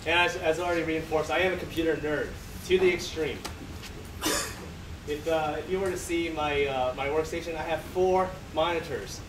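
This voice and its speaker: A man's voice: on the high side, steady tone, moderate pace. Male presenter in an echoey hall. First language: Chinese. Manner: self-deprecating, joking, many fillers. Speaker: conference presenter. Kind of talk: conference talk. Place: large conference hall. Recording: echoey hall, distant mic, room noise.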